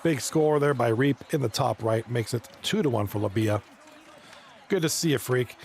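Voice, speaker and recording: A man with a gravelly, croaky voice that is partly gone, calling hockey video game action live and chatting easily with his viewers. He sounds hoarse and relaxed, and there is a faint hiss of noise behind him. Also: mid-range voice, normal speed. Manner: casual, chatty, talks to chat viewers. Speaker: esports league commentator. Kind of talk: live stream play-by-play and chat reading.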